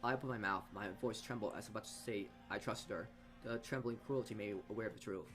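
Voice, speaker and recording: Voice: mid-range voice, fast, fairly bright tone, faint music behind. A gaming video host reading story text aloud dramatically and dropping in casual, blunt asides.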